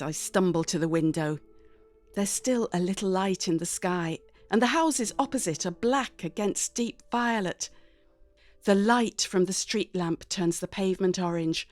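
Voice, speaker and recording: A woman reading a dramatic first-person story expressively and conversationally, in character as a teenage girl. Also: lowish voice. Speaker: audiobook narrator.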